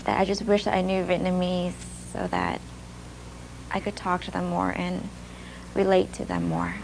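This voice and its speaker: Young woman, soft and halting, reflective, gravelly fry, faint hiss, older video clip. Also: fairly low pitch, big pitch swings. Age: young adult. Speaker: former student telling her story in a video. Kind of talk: personal testimony. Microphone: archival video clip played during a webinar.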